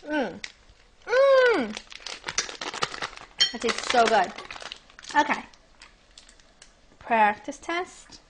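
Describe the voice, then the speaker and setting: A young woman hosting a cooking demonstration in her home kitchen, chatting brightly and speaking straight to her viewers. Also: high voice, wildly swooping pitch, extremely slow, long pauses, background noise. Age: young adult. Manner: bubbly, chatty, addresses viewers directly. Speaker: cooking show host. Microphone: lapel mic.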